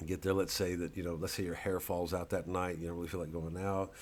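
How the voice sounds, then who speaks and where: A man's voice: sits low, flat delivery, fast talker. A male comedian casually promoting a live show in a rambling, digressive way, with a wry, mock-earnest delivery.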